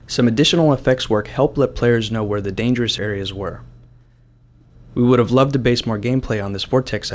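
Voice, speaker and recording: A man narrating prepared commentary as a game developer. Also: on the low side.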